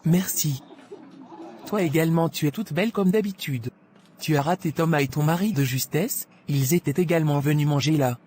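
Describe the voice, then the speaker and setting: A woman playing a poised, polite wife in an animated drama, with a reserved manner. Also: sits low, normal speed, natural voice. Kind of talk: scripted animated drama dialogue.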